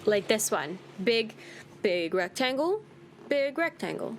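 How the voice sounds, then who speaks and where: A woman's voice: on the high side, big pitch swings, slow pace, background noise. A woman giving casual, irreverent commentary as she hosts a game playthrough, with a teasing, wry manner. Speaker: gaming video host.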